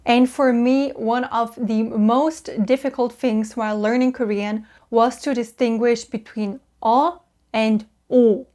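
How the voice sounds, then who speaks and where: A young woman with a German accent, patiently explaining Korean vowels and repeating each sound slowly. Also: on the high side, steady tone, mellow. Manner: cheerful, patient, drawn-out sounds for repetition. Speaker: Korean language teacher.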